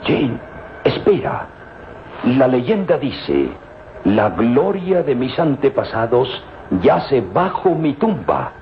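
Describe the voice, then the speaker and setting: Earnest professor, slow and swooping. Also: male voice, mid-range voice, very animated, highs cut off. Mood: urgent, hopeful. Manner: dramatic, halting, repeats words breathlessly. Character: earnest, anxious scientist father hunting an ancient papyrus. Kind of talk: radio serial acting. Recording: noisy, band-limited old radio drama recording.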